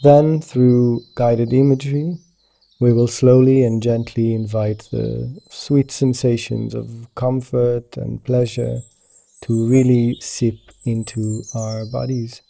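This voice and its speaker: Male meditation guide, very mellow and dark with creaky low edges, gentle and soothing delivery. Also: little pitch movement, slow pace. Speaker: meditation teacher guiding yoga nidra.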